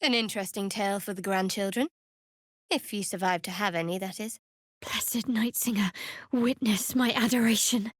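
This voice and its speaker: An actress playing a guarded, sardonic young female cleric of a dark goddess in a video game, speaking with dry, wry, clipped sarcasm. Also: mid-range voice, moderate pace, breathy edge, no echo, close to an everyday voice. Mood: devout, solemn. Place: dry studio recording.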